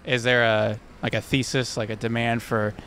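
A man's voice: medium pitch, flat delivery, moderate pace, fairly bright tone. A young man answering a street interviewer outdoors, thinking aloud and restarting his sentences. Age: young adult. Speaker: passerby. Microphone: handheld mic held by interviewer. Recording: faint street noise behind.

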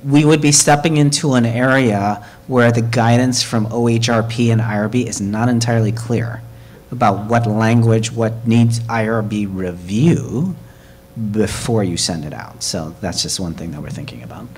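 A man's voice: on the low side, moderate pace, a little mellow, slight background noise. A man chairing a committee at a hybrid meeting, talking conversationally with fillers and restarts.

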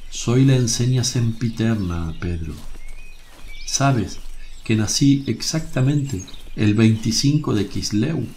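An actor playing a serene, kindly religious teacher in a radio drama, speaking in a measured, solemn way. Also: male voice, mid-range voice, darkish tone, slight background noise. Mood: gentle.

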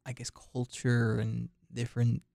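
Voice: mid-range voice, completely flat delivery, measured pace, creaky, dark tone, dry recording, clean studio sound. A radio interview host who asks long rambling questions full of restarts and "you know"s. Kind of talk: casual interview chat.

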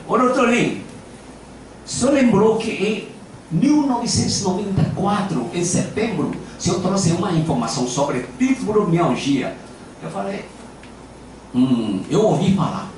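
An older man speaking Portuguese with a Japanese accent, giving an informal acupuncture lecture into a handheld mic, telling anecdotes in a playful, self-deprecating way. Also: high voice. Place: large lecture hall with audience. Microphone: handheld microphone. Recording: echoey hall, camera at a distance, background noise.

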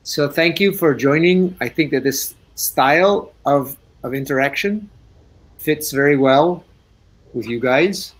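A man speaking English on a video call, relaxed and thinking aloud with restarts as a guest speaker. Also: on the high side, measured pace. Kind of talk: casual talk on a video call.

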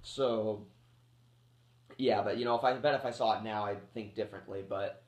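A man's voice: on the low side, fairly even intonation, normal speed, a little mellow. A man around thirty reviewing a movie in a loose, rambling way, full of fillers and restarts, while getting over a cold that makes him cough. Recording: echoey room.

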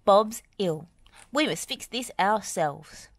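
Woman playing a brisk, capable lady builder. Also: mid-range voice, lively intonation, no room sound, pitched above the performer's own voice. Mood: resolute.

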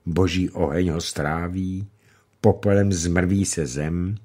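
A man reading the part of a gentle, wise old grandmother in an audiobook, speaking slowly and softly with a husky voice. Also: low-pitched, fairly even intonation. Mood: calm, tender. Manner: slow, soft-spoken, unhurried.

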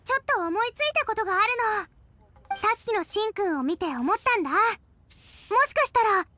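A woman's voice: very high-pitched, sing-song, a little fast, pushed and bright, full character voice. A woman voicing a cheerful, eager teenage girl in an anime game, perky and casual. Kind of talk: Japanese anime game voice acting.

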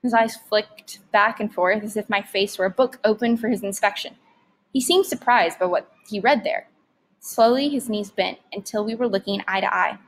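A woman's voice: mid-range voice, moderate pace, low-quality recording. A woman reading a story aloud expressively, like a teacher narrating to students.

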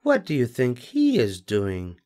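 Storybook figure: older man doing a kind grown rabbit, soft, lilting, unhurried. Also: mid-range voice, wildly swooping pitch, pitched above the performer's own voice. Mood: soothing. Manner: soft, soothing bedtime tone. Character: gentle grown male rabbit, patient and kind.

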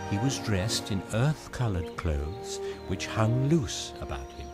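An actor playing a grave, measured storyteller, narrating an audio drama. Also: male voice, on the low side, big pitch swings. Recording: loud music behind narration.

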